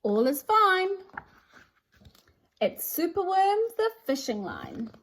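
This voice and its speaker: A woman's voice: high-pitched, wildly swooping pitch, slow pace, fairly bright tone. A woman presenting and reading a children's picture book aloud in a theatrical, sing-song rhyming delivery. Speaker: storybook reader and presenter. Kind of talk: expressive children's read-aloud.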